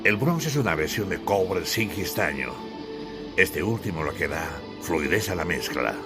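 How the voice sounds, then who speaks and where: Low-voiced male audiobook narrator over music. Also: animated, normal speed. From Bolivia. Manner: theatrical, literary storytelling. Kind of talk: dramatic scripted literary narration.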